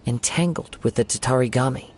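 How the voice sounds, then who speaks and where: A male actor playing a calm, perceptive young wandering swordsman in a video game, speaking in measured, formal, poetic phrasing. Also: mid-range voice, slight background noise, lightly acted. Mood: serious, calm. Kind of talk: scripted video game dialogue. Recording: background game music. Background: game music.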